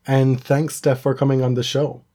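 A man's voice: mid-range voice, little pitch movement, moderate pace, warm tone. A man hosting a podcast in a casual, conversational style, recorded with slightly dull audio. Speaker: podcast host. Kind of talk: casual chat.

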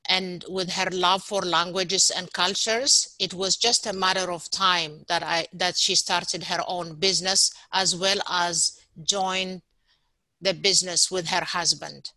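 Bright lady podcast host, dry close sound, kinda low voice. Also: fairly even intonation, unhurried, no echo, rough recording. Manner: upbeat, repeats words for emphasis. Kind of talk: podcast interview.

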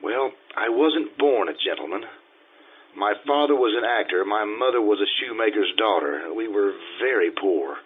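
Guy acting as pompous vain wannabe gentleman around forty, old radio drama style, bit husky, muffled lofi sound. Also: lowish voice, animated, normal speed. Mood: boastful, confiding. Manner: pompous, fussy, theatrical. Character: vain forty-year-old Italian social climber posing as gentleman.

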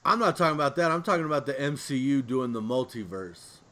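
A man's voice: mid-range voice, animated, fast talker, pushed and bright. A man co-hosting a podcast, chatting casually about pop culture. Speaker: podcast co-host.

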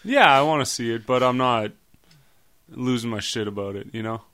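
A man's voice: medium pitch, moderate pace, bright, forceful tone, clear, resonant tone. A man co-hosting a casual podcast, laid-back and mostly answering in short, agreeing replies. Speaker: podcast co-host.

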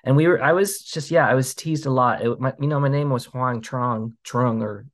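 A man in his thirties from the United States, a filmmaker speaking as a guest in a casual interview, halting with many fillers and restarts. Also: mid-range voice.

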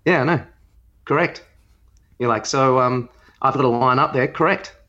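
A man's voice: mid-range voice, normal speed, pushed and bright. A man with an Australian accent co-hosting a podcast, giving casual opinions in a hesitant, rambling way. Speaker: podcast co-host. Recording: slightly dull lo-fi sound.

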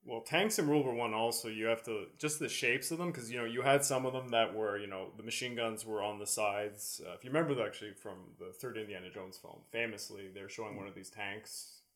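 A man's voice: lowish voice, brisk, few pauses, fairly bright tone. A man talking history casually as a panelist on a podcast.

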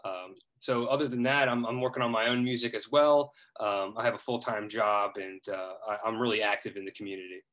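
A man's voice: lowish voice, steady tone, fairly quick, hardly any pauses, fairly bright tone. Earnest, hesitant man as interview guest. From Ohio, United States. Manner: earnest, hesitant, many fillers and restarts. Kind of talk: casual interview.

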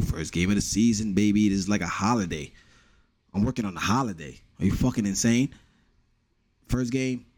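A man's voice: on the low side, a little mellow, dry recording. A young man with a New York accent, hosting a casual solo podcast with blunt, profane joking. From the Bronx, New York. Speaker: podcast host.

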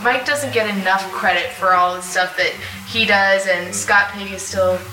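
A woman's voice: medium pitch, fairly even intonation, moderate pace, pushed and bright, faint music behind. A woman speaking earnestly and appreciatively in a casual live panel discussion. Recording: echoey, distant, noisy live room.

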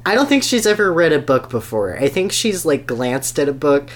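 A man's voice: a little high, very animated, moderate pace. A man co-hosting a podcast in casual comedic chat, with a wry, incredulous way of talking. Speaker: podcast co-host.

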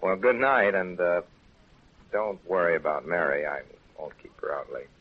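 A man's voice: low voice, animated, normal speed. An actor playing a wholesome, earnest, shy small-town man in a 1930s radio drama, on a tinny old recording. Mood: cheerful, sincere. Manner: plain-spoken, earnest. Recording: tinny phone-like 1930s radio, music behind.

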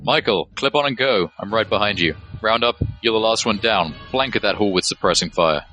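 Guy playing cool hard squad boss barking clipped orders, lowish punchy voice, bit of music, action audio drama. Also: brisk, sharp tone, dull audio. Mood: firm, controlled. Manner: clipped, commanding. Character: calm, ruthless male squad leader.

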